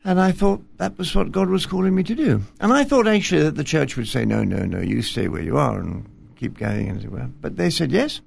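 An older man from Scotland, a retired bishop, talking gently and self-deprecatingly as a studio interview guest, with fillers and pauses. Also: a little high, sing-song, vocal fry, slightly rough. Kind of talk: relaxed interview conversation. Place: television studio.

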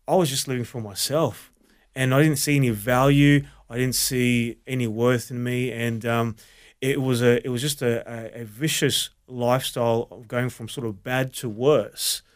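An Australian man, an associate pastor, giving an earnest personal testimony in an interview, often restarting his sentences. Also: mid-range voice. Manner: earnest, halting, many restarts.

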